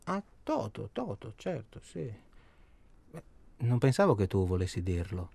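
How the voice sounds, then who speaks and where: An actor playing a nervous, chatty middle-aged husband in a naturalistic radio drama, hesitant and rambling, repeating himself and seeking agreement. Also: male voice, fairly low pitch, sing-song, measured pace, vocal fry, dark tone, husky, dry recording, full character voice. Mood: flustered, friendly.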